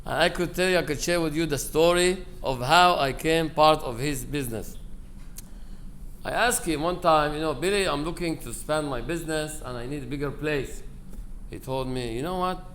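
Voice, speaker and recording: Higher-voiced man giving a memorial speech. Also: normal speed, a bit bright. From Aleppo, Syria. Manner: conversational storytelling, acting out quoted dialogue, broken English grammar. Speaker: rabbi giving a eulogy. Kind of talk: informal memorial speech with personal anecdotes. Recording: live speech with clear background noise.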